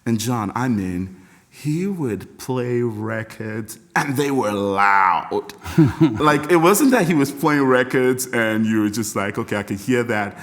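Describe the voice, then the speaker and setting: A middle-aged man from Nigeria with a Nigerian accent, a music educator chatting as a podcast guest, reflective and animated, laughing often as he tells stories. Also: medium pitch, takes it slowly. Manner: reflective, animated storyteller with frequent laughs and restarts. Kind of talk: casual interview talk.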